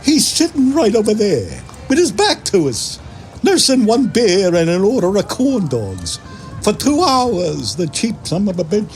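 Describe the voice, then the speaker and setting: Chummy bar owner, folksy, high-pitched, wildly animated, audio drama, faint music behind. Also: male voice, unhurried, exaggerated voice. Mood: chummy, sly.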